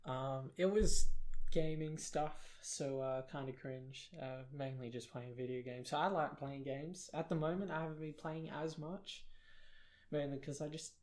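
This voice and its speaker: A man's voice: a little high, fairly even intonation, unhurried, darkish tone. Young guy w a british accent, rambly self-deprecating podcast chat, lots of ums. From South Africa. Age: young adult. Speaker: podcast co-host. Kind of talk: casual chat.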